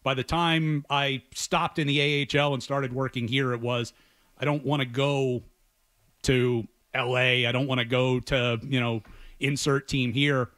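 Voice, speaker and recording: A man's voice: mid-range voice, little pitch movement, a little slow, a bit bright, no echo. A man in his forties, a sports announcer, answering casually as a guest in a radio interview. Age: 40s. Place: radio studio.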